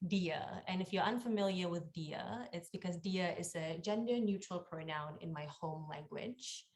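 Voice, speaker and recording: A young woman from Malaysia, Malay her first tongue, shares her story over a video call. Her voice sits low and she takes her time, filling pauses with ums and uhs. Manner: warm, unhurried, frequent ums and uhs. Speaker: workshop facilitator.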